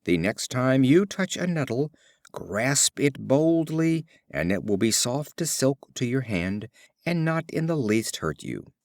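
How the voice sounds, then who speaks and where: A man's voice: mid-range voice, sing-song, measured pace, no room sound, studio quality. A man voicing a practical mother in a storybook fable, giving her young son firm, emphatic instruction. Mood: firm advice.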